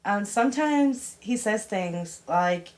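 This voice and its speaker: A woman speaking as an interviewee in a mockumentary, hesitant and halting, with many fillers. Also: medium pitch, expressive. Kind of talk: mockumentary talking head.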